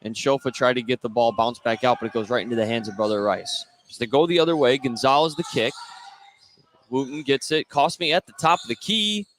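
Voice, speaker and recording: A man's voice: mid-range voice, a little fast, a bit bright, slight background noise. A man doing live play-by-play radio commentary of a basketball game. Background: indoor basketball game, bouncing ball.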